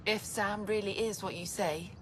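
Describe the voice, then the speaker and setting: A woman's voice: medium pitch, fairly even intonation, moderate pace, a little hiss or hum, lightly acted. An actress voicing a guilt-ridden woman scientist, tormented and stammering under strain, in a video game. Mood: anguished.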